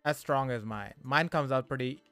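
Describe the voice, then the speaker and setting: A man from India with a mix of Indian and Canadian accent and a slight lisp, hosting a casual podcast chat, teasing and excitable. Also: mid-range voice, moderate pace, dry recording, soft background music. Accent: mixed Indian and Canadian English. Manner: teasing, excitable, swears freely. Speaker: podcast host.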